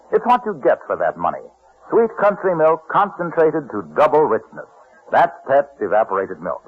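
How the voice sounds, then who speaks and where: A man with an American accent, a polished, emphatic announcer in old-time radio style. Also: a little high. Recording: muffled vintage radio recording.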